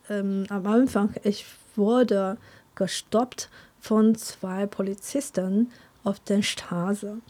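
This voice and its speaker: A woman's voice: medium pitch, warm tone. A young woman from China with a Chinese accent, answering interview questions, hesitant with many fillers and frequent laughs.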